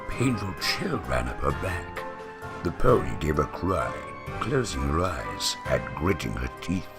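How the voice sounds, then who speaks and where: A man reads a dramatic story in an extremely low voice with a creaky, gravelly grain. His delivery is theatrical and deliberate, with wide, animated swings in pitch, in the grand style of a veteran voice actor. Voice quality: deep, gravelly. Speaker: narrator of fan fiction readings. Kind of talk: dramatic scripted story narration. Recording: music behind the voice. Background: background music.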